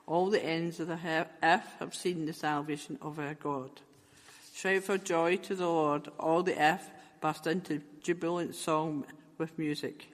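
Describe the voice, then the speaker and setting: A man's voice: a little high, steady tone. Man reading scripture in an echoey room. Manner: measured, careful reading. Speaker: scripture reader.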